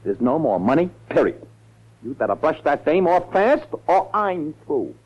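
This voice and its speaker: An actor playing a blunt, exasperated campaign manager who talks in insistent slang, in 1940s radio style. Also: male voice, on the high side, big pitch swings, moderate pace, breathy edge. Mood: exasperated, scornful. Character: hard-nosed, exasperated male political campaign manager. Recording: tinny 1940s radio recording.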